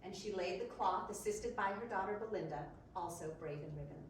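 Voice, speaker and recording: A woman's voice: mid-range voice, fairly quick. Female readers theater narrator, mid-range voice, reverberant room, distant pickup. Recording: very echoey room, distant mic, faint noise.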